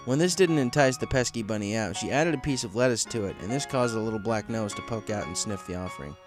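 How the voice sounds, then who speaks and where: A man's voice: fairly low pitch, animated, fast talker, over music. A man reading a story aloud as scripted narration. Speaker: story reader.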